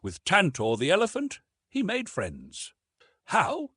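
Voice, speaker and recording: A man's voice: high voice, wildly swooping pitch, slow pace, bright, forceful tone, husky, no echo. A man narrating an adventure story from a script in a dramatic, theatrical way, drawing out words for emphasis. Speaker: audiobook narrator.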